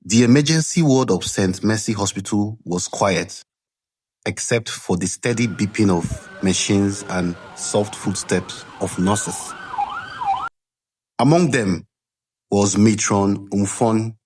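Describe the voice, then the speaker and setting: A man narrating a scripted folktale dramatically, with measured suspense and deliberate pauses, as a storyteller. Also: on the low side, darkish tone, husky. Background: background music.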